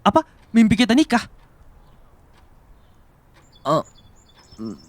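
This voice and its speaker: Man acting a flustered, devoted young man, slow-paced. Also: high voice, wildly swooping pitch, no room sound, exaggerated voice. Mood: flustered, gentle. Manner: hesitant, stammering, soft and tender. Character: flustered, devoted young man in love with his older female boss. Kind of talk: romantic ASMR roleplay acting. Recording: music behind the voice.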